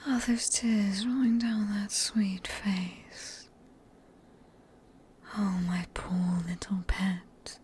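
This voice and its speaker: Slow, whispering British vampire woman, acted out by a woman. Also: medium pitch, little pitch movement, a little mellow, slight background noise. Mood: soothing, possessive. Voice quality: breathy whisper. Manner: slow, teasing, condescending endearments. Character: sadistic, possessive female vampire, seductive and menacingly tender. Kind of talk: whispered ASMR roleplay.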